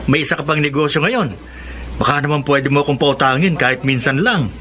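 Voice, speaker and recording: A man's voice: on the high side, brisk, background noise, close to an everyday voice. An actor playing a friendly, teasing male friend who jokes and laughs easily, in an audio drama. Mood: playful. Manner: playful, laughing.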